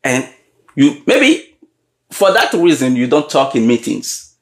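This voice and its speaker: A man giving a motivational leadership talk, often pausing to check in with his audience and repeating key questions. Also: medium pitch, big pitch swings. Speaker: leadership coach. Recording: roomy echo.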